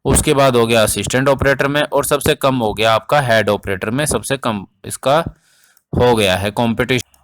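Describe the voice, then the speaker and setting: A man's voice: medium pitch, fairly bright tone. A man explaining exam updates informally as a coaching teacher, addressing students directly and repeating points for emphasis.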